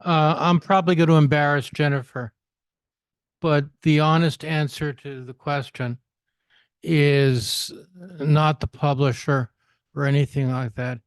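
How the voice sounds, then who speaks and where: A man in the audience speaking plainly and bluntly, his voice flat in pitch but smooth and clear. Dry close sound. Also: unhurried, no echo. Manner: blunt, direct, plain-spoken. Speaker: audience member. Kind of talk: audience comment.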